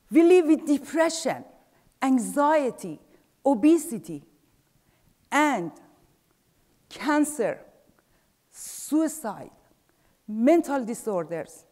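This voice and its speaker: Iranian-accented woman giving a stage talk. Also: fairly high pitch. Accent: Persian. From Iran. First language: Farsi. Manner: measured, trailing off softly at phrase ends. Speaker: speaker. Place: large dark theater stage. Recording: theater with long reverb.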